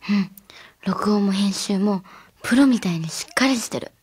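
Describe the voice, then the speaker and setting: A woman playing an anxious schoolgirl with a breathy voice in a radio drama. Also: mid-range voice, animated, normal speed, darkish tone.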